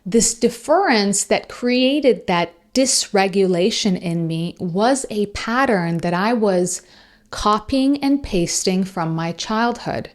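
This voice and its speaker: A woman who is a native Persian speaker, hosting a reflective monologue in a measured, deliberate way with long pauses. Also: medium pitch, expressive. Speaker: host.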